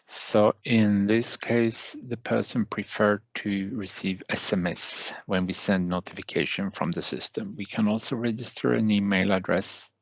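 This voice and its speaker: A man narrating a step-by-step software tutorial as a trainer, with halting, deliberate phrasing and hesitant pauses. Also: fairly low pitch, steady tone, gravelly, dry recording, rough recording.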